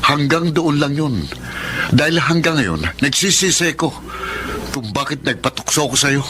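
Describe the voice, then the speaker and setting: A man's voice: a little high, animated, unhurried, noisy background. A man playing a guilt-ridden married man in a radio drama, firm and defensive.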